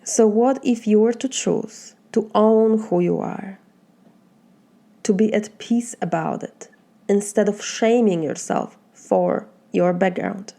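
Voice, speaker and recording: A woman with a Polish accent gives a motivational talk as a life coach, in a mellow, darkish voice with a gravelly edge. She speaks slowly and earnestly. Her talk moves through one reflective question after another. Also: medium pitch. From Poland. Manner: asks many reflective questions, slow and earnest.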